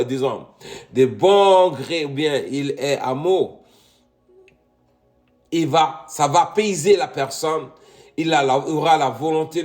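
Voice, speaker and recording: A man's voice: a little high, darkish tone. A middle-aged man from Congo preaching a sermon, addressing listeners as beloved and punctuating points with amen, rising to emphatic peaks. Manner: repeats "mes bien-aimés" and "Amen", rising to emphatic peaks.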